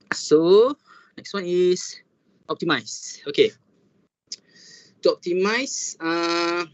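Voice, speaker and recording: A young Malaysian man with a Malaysian English accent, giving an informal online workshop talk in a halting way, with many fillers and Malay words mixed in. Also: a little high, dry recording. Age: young adult. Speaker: workshop speaker. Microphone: video call. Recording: dull, dry lo-fi call audio.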